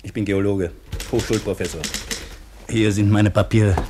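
An actor playing a reserved, polite former professor in a radio play, formal, hesitant and guarded. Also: male voice, lowish voice, steady tone, normal speed, noisy background, close to an everyday voice. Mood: guarded, subdued. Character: reserved, polite former geology professor seeking hard labour.

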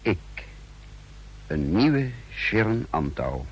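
An actor playing a brooding secret agent, narrating his mission in the first person in formal, measured radio drama style. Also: deep voice, big pitch swings, husky, heavily acted. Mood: ominous, uneasy.

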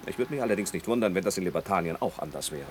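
A man's voice: deep voice, expressive, very fast. An actor playing an educated, testy foreign visitor in his forties in a 1960s German radio play, sardonic and exasperated. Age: middle-aged. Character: educated foreign visitor, man over forty, wry and testy. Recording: noisy 1960s radio drama recording.

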